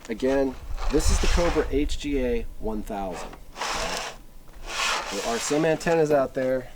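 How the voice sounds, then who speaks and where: A man giving a casual, unscripted product overview in a halting, conversational way with plenty of filler words. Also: mid-range voice, lively intonation, noisy background. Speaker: product reviewer.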